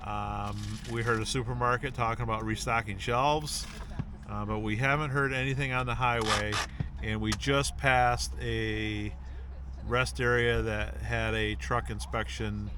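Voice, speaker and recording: A man in his fifties hosts a casual vlog with an ordinary mid-pitched voice. He speaks informally and plainly to the camera. There is clear vehicle noise around him. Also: steady tone, unhurried. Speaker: vlog host. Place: outdoors by a driveway and inside a car. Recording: clear road and car noise.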